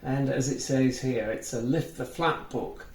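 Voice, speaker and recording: A man reading a storybook aloud for young children, simply and with gentle guidance to the listener. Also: medium pitch, measured pace, reverberant.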